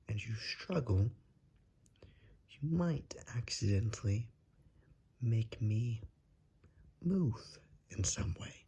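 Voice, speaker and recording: Suave ASMR vampire, acted by a man: very breathy and husky, unhurried, politely menacing and seductive. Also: medium pitch, mellow, exaggerated voice. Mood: calm menace. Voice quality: breathy, husky, half-whispered. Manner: courtly, drawn-out, seductive menace. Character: suave, predatory vampire, coaxing and darkly polite. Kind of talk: ASMR roleplay, slow and whispery.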